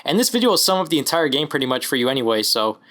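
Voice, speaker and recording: A man's voice: medium pitch, big pitch swings, very fast, noisy background. A man hosting a gaming video, giving casual introductory commentary to viewers.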